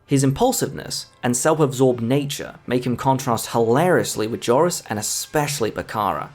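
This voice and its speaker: A man's voice: mid-range voice, normal speed, darkish tone. A man narrating a scripted video essay as a film reviewer. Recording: music under the voice. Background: background music.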